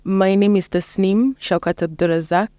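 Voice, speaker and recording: Clear-voiced young Kenyan woman. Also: mid-range voice, steady tone, normal speed, mellow, no room sound. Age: young adult. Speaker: interview guest, lawyer and mentor. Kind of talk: casual interview conversation.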